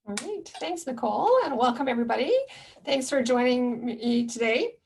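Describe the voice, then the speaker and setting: A soft-spoken woman giving a gentle, reflective webinar presentation about loneliness over a video call. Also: on the high side, normal speed, creaky, distant mic, slight background noise.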